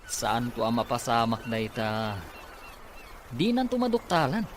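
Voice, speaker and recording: A man's voice: medium pitch, big pitch swings, unhurried, background noise. A man with a Filipino accent playing a scheming, gloating villain after money in an Ilocano radio drama. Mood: smug.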